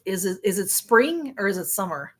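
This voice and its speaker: Chatty woman in her sixties, husky voice. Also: mid-range voice, sing-song, normal speed, a bit bright. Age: 60s. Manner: chatty, rambling, laughs often, many fillers. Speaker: livestream host. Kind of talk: casual live-stream chat reading viewer comments.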